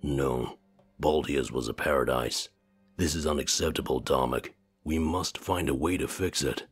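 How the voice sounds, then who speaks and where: A man voicing a grave, ancient, commanding male elder creature in a visual novel, with a gravelly voice and solemn, formal delivery. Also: moderate pace, faint music behind. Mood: stern disapproval. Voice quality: very deep, gravelly, husky.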